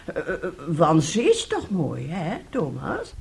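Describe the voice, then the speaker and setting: Bossy, wheedling mother, animated. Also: low voice, measured pace, gravelly, full character voice. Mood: coaxing. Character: bossy, scheming, self-pitying mother coaxing her son. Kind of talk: radio play acting.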